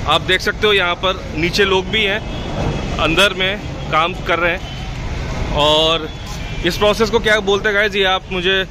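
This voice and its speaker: High-voiced Indian man vlogging outdoors. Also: fairly even intonation, unhurried. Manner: repetitive, code-mixing with English words. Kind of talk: casual on-site narration to camera. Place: outdoor construction site beside a busy road. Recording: noisy outdoor street with heavy traffic. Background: traffic and city ambience.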